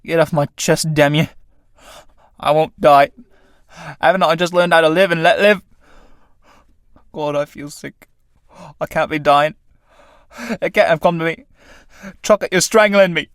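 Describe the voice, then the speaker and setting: A man playing a battered old male tramp who talks in rough, colloquial speech. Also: on the high side, moderate pace, long pauses, dry recording, clean studio sound, natural voice. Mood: desperate. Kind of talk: radio play acting.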